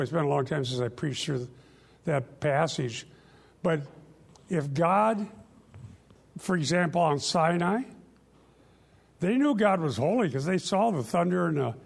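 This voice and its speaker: Older male radio pastor, gravelly and a little high-pitched, earnest emphatic Bible teaching. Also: lively intonation, a little slow, pauses often. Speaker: radio show host and pastor.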